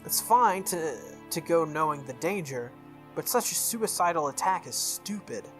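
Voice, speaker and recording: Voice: mid-range voice, animated, moderate pace, a bit bright, soft background music, lightly acted. A game streamer voicing an earnest, protective, stubborn young man who scolds bluntly in a visual novel. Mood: exasperated.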